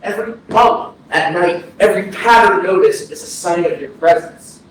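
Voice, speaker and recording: A man playing a haunted, grieving man of almost thirty in a staged reading, speaking earnestly and haltingly as he pleads with the dead. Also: high voice, natural voice. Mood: anguished searching. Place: indoor arts center stage, recorded from afar. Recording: very echoey, distant hall, faint noise, processed.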